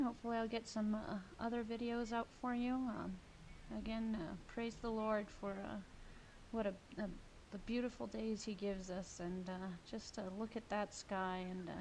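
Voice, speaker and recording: Relaxed woman narrating her horse video. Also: a little high, slight background noise, rough recording. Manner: relaxed, halting, many fillers. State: sniffling. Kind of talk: casual voice-over commentary.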